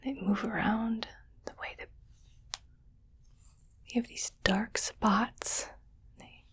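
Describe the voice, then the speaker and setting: Woman as female alien, ASMR roleplay, whispered, higher-pitched, condescending, fascinated disgust, deliberate delivery. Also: fairly even intonation, a bit bright, heavily acted. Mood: fascinated disdain. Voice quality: breathy whisper. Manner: slow, deliberate, haughty.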